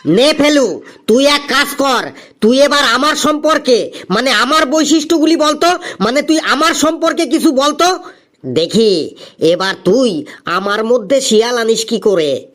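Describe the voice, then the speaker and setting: A man's voice: very high voice, expressive, measured pace, a bit bright, exaggerated voice. A man voicing an exasperated, scheming schoolteacher in a comedy cartoon, by turns scolding and coaxing. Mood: scheming muttering. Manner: scolding, then falsely coaxing.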